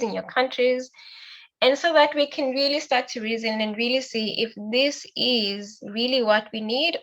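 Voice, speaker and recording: A woman's voice: a little high, fairly bright tone, low-quality recording. Woman moderating an online discussion. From South Africa. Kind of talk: casual discussion hosting. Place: online call, off video during a power cut.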